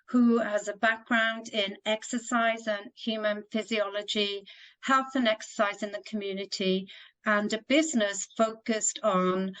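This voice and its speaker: A woman's voice: medium pitch, fairly bright tone, low-quality recording. A woman from Wales hosting an interview in an effusive, appreciative manner. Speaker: interview host. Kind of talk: warm scripted welcome and interview questions.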